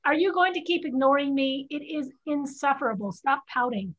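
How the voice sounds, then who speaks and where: Defiant teen girl disguised as a boy, read by a woman, high voice, earnest stage-play delivery, slightly muffled call audio. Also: expressive, normal speed. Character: defiant fifteen-year-old Chinese girl raised disguised as boy. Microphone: video call. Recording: dull video call audio.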